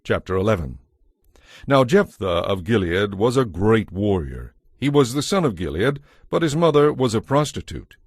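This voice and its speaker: A man narrating a dramatized scripture audiobook from a script, in a measured, formal manner. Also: on the low side, big pitch swings, breathy edge, no echo, clean studio sound.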